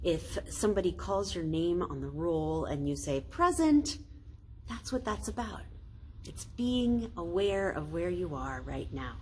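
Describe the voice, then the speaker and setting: An American woman, a pastor, reading a children's story aloud in a deliberate, explanatory way. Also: lowish voice, expressive, dark tone. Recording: faint noise, ambient sound.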